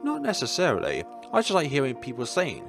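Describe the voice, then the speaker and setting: A man plays a dreamy young woman in a visual novel. She is gentle, pensive and earnest, with lively intonation, and music plays behind her. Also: mid-range voice, fairly quick, creaky, pitched above the performer's own voice.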